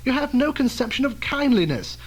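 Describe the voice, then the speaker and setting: Old-time radio drama scientist, male actor: older, protective, formal, cautious, high-pitched with very lively intonation. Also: heavily acted, low-quality recording. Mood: stern, reproving. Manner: measured, admonishing, formal.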